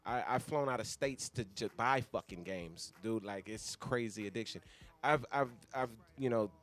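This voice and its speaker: A man's voice: medium pitch, moderate pace. A man talking casually as a guest on a talk show, blunt and slangy, with a lot of swearing. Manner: blunt, heavily profane, slangy. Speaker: guest, avid gamer.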